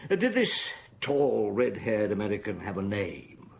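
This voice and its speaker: An actor playing a shrewd, authoritative Paris police chief who questions in a probing, measured way in a radio drama. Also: male voice, fairly low pitch, big pitch swings, gravelly, slight background noise, full character voice. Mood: brisk, probing.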